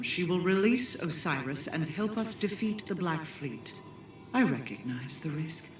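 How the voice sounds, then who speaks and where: An actress voicing a cool, calculating otherworldly queen in a video game, speaking in a formal, measured, commanding way. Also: sits low, slight background noise. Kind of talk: video game cutscene dialogue. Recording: echoey, distant, with music behind.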